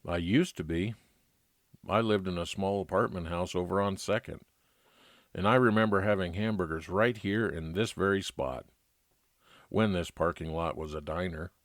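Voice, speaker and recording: A man's voice: low-pitched, normal speed, no echo, clean studio sound, natural voice. A man playing a wry, world-weary private investigator in an old-time radio noir detective drama. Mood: polite, probing.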